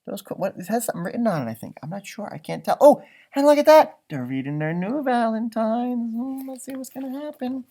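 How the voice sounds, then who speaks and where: A man reading a storybook aloud to young children, animated and encouraging, with chatty asides. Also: extremely high pitch, a little fast. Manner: encouraging, chatty, stretches words for young listeners.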